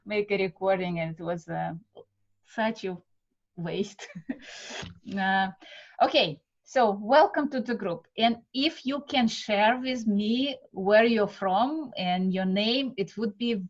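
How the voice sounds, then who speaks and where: Russian-accented woman instructor, slow, pausing often, dull call audio. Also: medium pitch. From Siberia, Russia. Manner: halting, many fillers, warm welcomes. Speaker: art method instructor. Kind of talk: live online class introduction. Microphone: video call.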